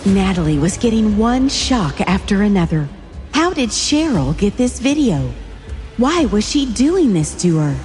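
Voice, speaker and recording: A woman's voice: mid-range voice, sing-song, measured pace, a little mellow, soft background music. A woman reading scripted story narration with suspenseful, dramatic phrasing.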